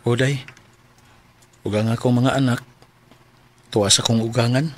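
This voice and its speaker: A man's voice: medium pitch, steady tone, normal speed, close to an everyday voice. A man with a Cebuano Filipino accent playing an earnest separated father in his late thirties in a radio drama. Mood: earnest, tentative. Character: earnest 37-year-old separated father courting a younger woman.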